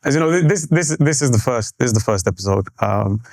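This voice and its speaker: A man hosting a podcast in a relaxed, conversational way, often repeating words as he goes. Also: mid-range voice, fairly quick, dry recording. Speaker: podcast host.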